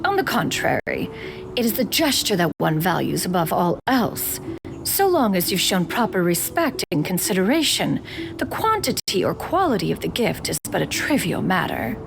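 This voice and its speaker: A woman's voice: fairly low pitch, big pitch swings, moderate pace, creaky, noisy background. A woman voicing an ancient spirit in human form in a video game, speaking in a formal, old-fashioned way and calling herself "one", over game music. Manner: archaic, formal speech, refers to herself as "one". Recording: game audio with music behind. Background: background music.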